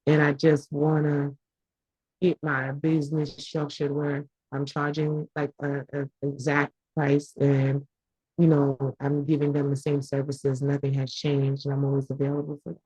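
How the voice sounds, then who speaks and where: Husky low-voiced woman, hesitant. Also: completely flat delivery, a little slow, warm tone, no echo, low-quality recording. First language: Haitian Creole. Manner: hesitant, candid, many fillers. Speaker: class participant, small business owner. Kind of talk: casual chat. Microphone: video call.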